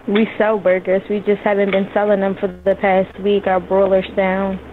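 A woman working at a fast-food place, handling a customer service call over the phone. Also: medium pitch, monotone. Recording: tinny phone line, faint noise.

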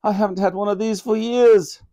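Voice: medium pitch, steady tone, dark tone, pitched above the performer's own voice, close to an everyday voice. A reader putting on a clipped, regal old Queen. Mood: wryly amused. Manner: clipped, formal, measured. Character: stately elderly Queen, clipped, regal, gently amused.

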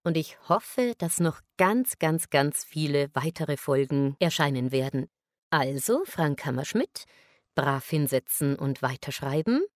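A woman's voice: low-pitched, lively intonation, a little slow, no room sound, clean studio sound. A woman speaking a congratulatory message as if addressing a colleague directly.

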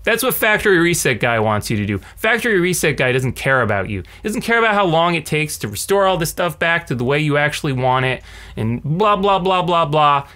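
Guy in his 30s doing a casual tech rant, kinda high sharp voice, sardonic. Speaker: tech video host. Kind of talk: casual chat to camera. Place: small cluttered home room. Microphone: large condenser mic on a stand beside him.

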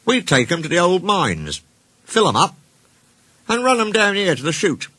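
A man voicing a small, grumpy steam engine in a children's storybook, rude and quick-tempered, with a blunt grumbling manner. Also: high voice, big pitch swings, pushed and bright, breathy edge, no room sound, pitched above the performer's own voice, full character voice. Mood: blunt, boastful.

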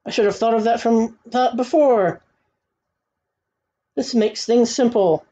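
A woman acts out an imperious storybook king, fretful and quick to exclaim. The voice is raised a little and slightly dark, with orders given grandly. The recording is somewhat dull. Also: a little high. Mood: commanding. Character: irritable, fretful, commanding male king. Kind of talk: storybook read-aloud.

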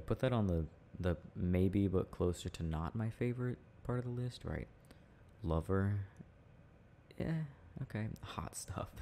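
A young man speaking in a playful, affectionate, halting murmur, like an intimate, casual ASMR boyfriend roleplay, with a deep gravelly voice. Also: dark tone. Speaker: boyfriend roleplay audio performer. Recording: faint background noise.

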